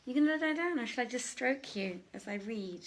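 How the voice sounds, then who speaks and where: A woman's voice: a little high, expressive, a little fast, a little hiss or hum. A woman hosting a live storytelling stream from home, chatty and affectionate, with theatrical touches in her greetings. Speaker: host and storyteller, theatre director. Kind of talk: informal welcome before a live bedtime-style reading. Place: at home, live to camera on a tripod.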